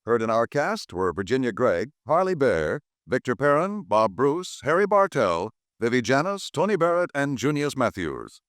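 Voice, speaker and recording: Old-time radio announcer guy, smooth and formal, lively pitch, dry. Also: normal speed, hardly any pauses, no room sound.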